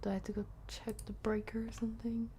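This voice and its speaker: A woman streaming a game, chatting playfully with viewers and often dropping into hushed whispered asides. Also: fairly high pitch, level tone, fast, a little hiss or hum. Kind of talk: casual live-stream chat while playing.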